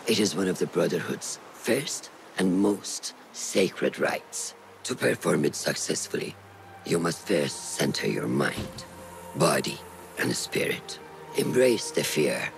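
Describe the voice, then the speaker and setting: An actor playing a stern, authoritative older master assassin who instructs a pupil in a video game cutscene. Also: male voice, a bass voice, vocal fry, exaggerated voice, poor audio.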